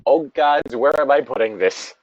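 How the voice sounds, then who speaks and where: A man's voice: mid-range voice, normal speed, no room sound, dull audio. A man in a casual chat, rambling along and swearing freely.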